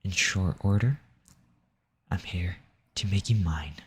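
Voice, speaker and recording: A man playing a possessive, seductive demon in an intimate audio roleplay, speaking formally in a breathy, coaxing, menacing way. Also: deep voice, slow, heavily acted. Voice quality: husky, breathy, often dropping into whisper. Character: possessive seductive male demon, silver-tongued and domineering.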